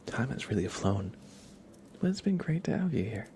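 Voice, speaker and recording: A man's voice: medium pitch, very animated, normal speed, dark tone, slight background noise. A man hosting an ASMR livestream, chatting casually and playfully with viewers in a whispery, slightly stuffed-up voice close to the mic. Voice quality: stuffy nose. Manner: whispery, playful, teasing banter.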